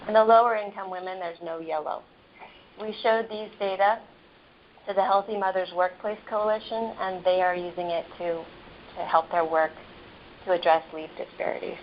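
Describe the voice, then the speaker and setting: A soft-spoken woman with a lowish voice walks a meeting through data slides in a measured, explanatory way, pausing on ums and restarting phrases. She is heard through a phone set on a table, so the sound is muffled and dull, with a faint hiss behind her. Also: fairly even intonation. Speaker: epidemiologist presenting data. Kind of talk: slide presentation over a phone line.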